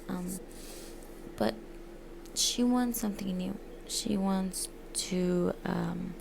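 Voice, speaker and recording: A woman who grew up in the United States gives an informal, halting book presentation as a student, often pausing and correcting herself. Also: lowish voice, vocal fry, noisy background. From United States, born in Mexico. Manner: hesitant, halting, frequent pauses and self-corrections. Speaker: student presenting a literature essay.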